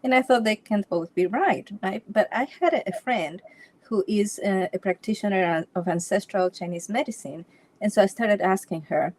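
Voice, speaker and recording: A woman's voice: mid-range voice, normal speed, darkish tone. Woman from Ecuador telling her story. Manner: storytelling with frequent restarts and light laughs. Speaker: Feng Shui consultant, interview guest. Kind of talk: casual interview chat.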